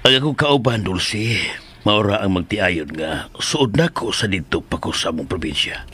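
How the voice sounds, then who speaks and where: An actor playing a kindly, composed father in a radio drama, speaking in a gentle, measured way. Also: fairly low pitch, lively intonation, husky. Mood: gentle, reassuring. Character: kindly, composed father returning to his family.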